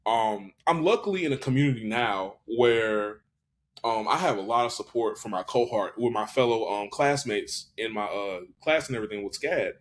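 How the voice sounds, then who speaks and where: A man's voice: lowish voice, normal speed. Hesitant, thoughtful man in his twenties. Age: 20s. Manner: hesitant, thoughtful, frequent restarts and fillers. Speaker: podcast guest. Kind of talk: casual reflective interview talk.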